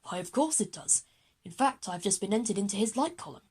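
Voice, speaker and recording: A woman playing a proud, formal grown man in a children's audio drama, speaking in a courteous, measured way. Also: mid-range voice, expressive, darkish tone, husky. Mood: proud, composed.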